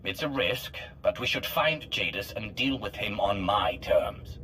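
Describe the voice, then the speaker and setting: A low, commanding Sith leader. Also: male voice, animated, measured pace, pushed and bright, slight background noise. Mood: resolute. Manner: terse, commanding, controlled. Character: resolute, commanding male Sith Alliance leader. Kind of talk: scripted video game voice acting.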